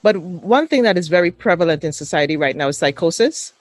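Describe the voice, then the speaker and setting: A woman's voice: fairly low pitch, expressive, fairly quick, no room sound. Female panelist, Trinidadian accent, emphatic preacher cadence, dry video-call audio. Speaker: panelist, mental fitness coach. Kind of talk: webinar panel discussion.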